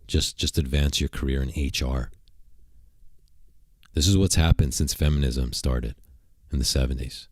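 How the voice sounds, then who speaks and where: Very deep-voiced man streaming, crude jokes. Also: steady tone, moderate pace, slightly rough, dry recording, studio quality. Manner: crude, provocative joking, rambling. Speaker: streamer and channel host. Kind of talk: casual livestream chat. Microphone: desk mic at a computer.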